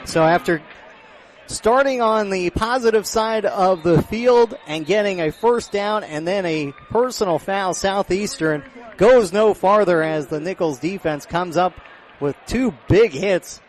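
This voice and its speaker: A high-voiced American man works as a play-by-play announcer on a live football radio broadcast. He projects clearly and lifts into excitement when the action builds. Some outdoor stadium noise sits behind him. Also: measured pace. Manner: projected, excited on big plays.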